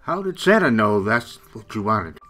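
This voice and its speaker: A man's voice: fairly low pitch, big pitch swings, moderate pace, sharp tone, soft background music. A man playing a cheerful father of young children in a radio play. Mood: jovial.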